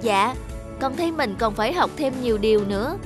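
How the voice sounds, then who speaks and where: A woman voicing a curious, naive young sprite child, earnest and respectful, in an animated fable. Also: fairly high pitch, fairly quick, music in the background, lightly acted. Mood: humbled.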